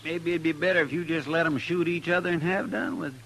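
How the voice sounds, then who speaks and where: An actor playing a folksy, complaining deputy sidekick with a rural American twang in a 1950s Western radio drama. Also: male voice, on the high side, steady tone, fast talker, fairly bright tone. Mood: exasperated, puzzled. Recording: noisy old radio drama recording.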